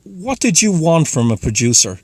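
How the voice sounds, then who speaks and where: Male host interviewing a guest, fairly high voice, dry close sound, short prompting questions. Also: big pitch swings, normal speed, dry recording. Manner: brief, prompting questions and acknowledgments. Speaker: podcast host.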